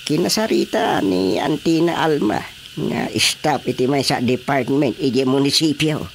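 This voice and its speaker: A man with an Ilocano Filipino accent playing a plaintive, excitable, apologetic husband and father in a radio drama comedy. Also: mid-range voice, measured pace, gravelly, slight background noise. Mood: pleading, apologetic. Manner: expressive, swooping delivery.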